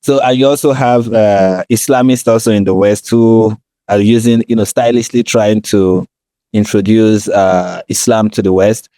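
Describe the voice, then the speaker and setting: A Nigerian man speaks in a dark, relaxed voice with very little room sound. He explains himself conversationally as a podcast co-host, often checking in with the listener as he goes. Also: fairly low pitch, moderate pace, no echo.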